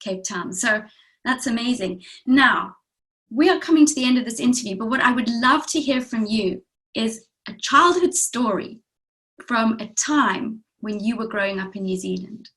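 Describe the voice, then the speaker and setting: A woman's voice: fairly high pitch, normal speed, fairly bright tone, rough recording. A woman from Africa, hosting an interview and often saying "you know". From South Africa. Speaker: interview host.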